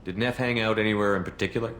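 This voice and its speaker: An actor playing a steady, determined military hero in a video game, speaking in a direct, reassuring way. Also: male voice, on the low side, racing, a little hiss or hum, lightly acted. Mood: calm, reassuring. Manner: direct, clipped.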